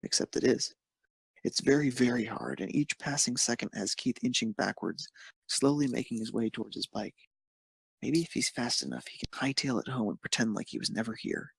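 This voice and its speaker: A man's voice: medium pitch, animated, moderate pace, vocal fry, husky, no echo, low-quality recording. A man narrating a scripted piece of fan fiction as a storyteller.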